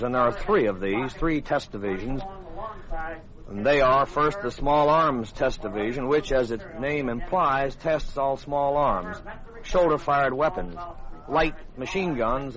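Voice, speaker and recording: A middle-aged American man, an army officer, gives formal, measured explanations in an interview. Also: mid-range voice, poor audio. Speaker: army colonel, head of a test board, interviewee. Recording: outdoor demonstration rehearsal noise behind, crowd. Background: military demonstration rehearsal, crowd sounds.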